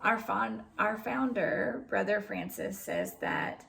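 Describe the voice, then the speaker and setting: A woman voicing a gentle, pious wandering friar in a kindly, earnest way for a storybook reading. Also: medium pitch, huge pitch swings, slow pace, vocal fry, full character voice. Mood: gently earnest.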